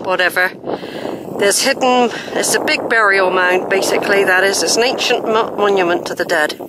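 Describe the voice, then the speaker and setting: A woman's voice: medium pitch, normal speed, very noisy. An older woman, chatting casually to camera as she walks through open fields, rambling with asides and self-corrections. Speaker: walking vlogger. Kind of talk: chatty walking commentary to camera. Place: open hilly fields outdoors. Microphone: handheld camera mic while walking.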